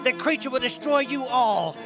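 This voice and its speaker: Very high-voiced melodramatic mad scientist. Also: male voice, animated, moderate pace, over music. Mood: defiant, anguished. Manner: melodramatic, declaiming. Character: theatrical villainous mad scientist, grandiose and imperious. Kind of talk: comedic radio drama acting.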